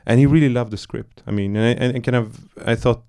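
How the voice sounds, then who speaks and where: A man's voice: medium pitch, fairly even intonation, brisk, vocal fry, mellow, clean tone. A man from Iran, a film director, talking loosely in a post-screening Q&A, searching for words with many fillers and restarts. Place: cinema auditorium.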